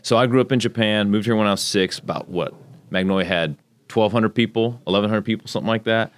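Texan man, very low voice, rambling candidate in a dry room. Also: quick pace, smooth, no room sound. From Texas, United States. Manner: chatty, rambling, faith-focused storytelling. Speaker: congressional candidate, guest. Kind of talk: casual interview talk.

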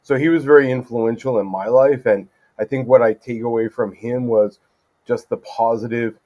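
A middle-aged man from California, a judge as podcast guest, answering in a thoughtful, measured way with frequent fillers. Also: mid-range voice, steady tone, mellow. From California, USA. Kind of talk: casual interview conversation.

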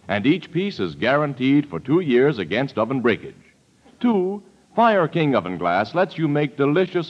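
A male announcer in the 1940s radio advertising style. He delivers an emphatic scripted pitch with lively intonation, on a muffled old recording. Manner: emphatic, precisely phrased sales pitch.

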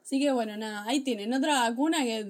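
A woman's voice: a little high, fast. A woman with an Argentine Spanish accent, co-hosting a casual podcast chat in a joking, ironic way. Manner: jokey, ironic, laughs often. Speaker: podcast co-host.

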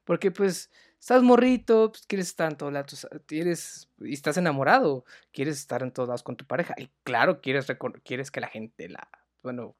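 A man's voice: on the high side, animated, normal speed. Slangy Mexican man, casual chat. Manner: slangy, says "güey" a lot, drops to a mutter. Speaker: podcast co-host. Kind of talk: casual chat reviewing a TV series.

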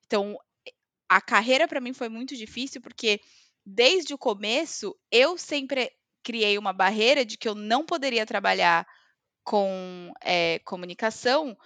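A Brazilian woman with a Brazilian Portuguese accent, chatting casually with hesitant pauses and fillers. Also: on the high side, pushed and bright, dry recording. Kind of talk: casual chat. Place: living in Scotland.

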